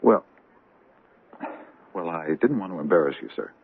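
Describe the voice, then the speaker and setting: A man's voice: sits low, lively intonation, moderate pace, slight background noise. A man playing a deferential, evasive junior bank assistant in an old-time radio drama, polite and hesitant. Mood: uneasy, careful. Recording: tinny band-limited radio drama recording.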